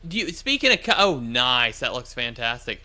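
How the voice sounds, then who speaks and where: A man's voice: medium pitch, sing-song, sharp tone. A man chatting casually on a ham radio livestream as its host, in an agreeable, jokey manner. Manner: agreeable, jokey, quick to chuckle. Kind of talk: casual livestream chat about amateur radio.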